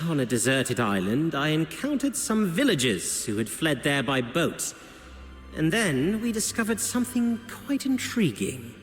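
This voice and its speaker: Smooth scheming officer for a video game, flowery n theatrical, very animated, big reverb, music under it. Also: male voice, on the high side, heavily acted. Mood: smug, intrigued. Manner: flowery, insinuating, deliberate storytelling. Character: suave, theatrical male subordinate officer reporting to his chief. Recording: echoey hall reverb with music.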